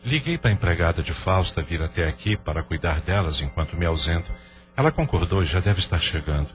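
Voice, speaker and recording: A Brazilian actor playing a grieving, burdened father, gentle but evasive, in an audio drama. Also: sits low, lively intonation, fairly quick. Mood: subdued. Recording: dull lo-fi audio under music.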